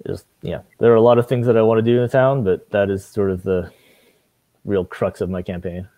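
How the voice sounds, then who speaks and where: Young male candidate from Maine, deep dark voice, unhurried, lots of ums, webcam in a home study. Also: no room sound. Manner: relaxed, hesitant with frequent fillers. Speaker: city council candidate. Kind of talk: casual video call interview. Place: home room with bookshelves. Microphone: video call webcam.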